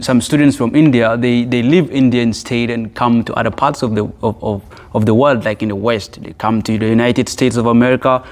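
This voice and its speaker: A man's voice: medium pitch, a little mellow. A man from South Sudan hosting a podcast interview, speaking in a friendly, conversational way and often restarting words while he thinks. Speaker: podcast host.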